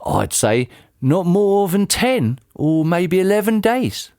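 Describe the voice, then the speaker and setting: A reader voicing a cruel, gloating old man in a storybook, drawing out his taunts slowly. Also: medium pitch, very animated, a little mellow, no room sound, clean studio sound, pitched above the performer's own voice. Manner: slow, taunting, relishing each word. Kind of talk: storybook character voice.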